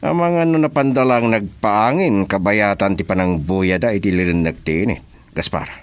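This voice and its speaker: A man's voice: on the low side, normal speed, smooth, no echo, natural voice. A man with an Ilocano accent playing a powerful, commanding boss in a radio serial. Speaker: actor in a radio drama.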